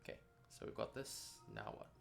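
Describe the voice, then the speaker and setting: A man chatting casually as he follows instructions, tentative and often checking what to do next. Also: normal speed. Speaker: lead writer learning the software. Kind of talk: casual back-and-forth while following instructions. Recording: clear music behind the voice.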